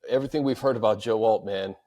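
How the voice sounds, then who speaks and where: A man's voice: lowish voice, fast, warm tone. An American man hosting a casual sports chat, fielding fan questions and adding his own take. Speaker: sports show host reading fan questions.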